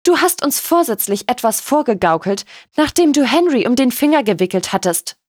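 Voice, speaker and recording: A woman's voice: high voice, animated, a little slow, dry recording, clean studio sound, pitched above the performer's own voice. A woman voicing a haughty, venomous older aristocratic lady in an audiobook, cutting and condescending.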